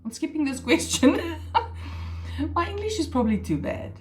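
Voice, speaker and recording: A woman's voice: sits high, wildly swooping pitch, moderate pace, slight background noise. A middle-aged woman, an Afrikaans speaker, hosting her crochet channel in a chatty, self-deprecating way with hesitant restarts. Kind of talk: casual chat.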